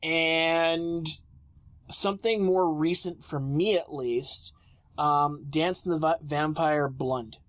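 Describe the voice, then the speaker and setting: A man co-hosting a podcast in casual banter, halting and teasing as he talks. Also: a little high, steady tone, a bit bright, no room sound. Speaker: podcast co-host.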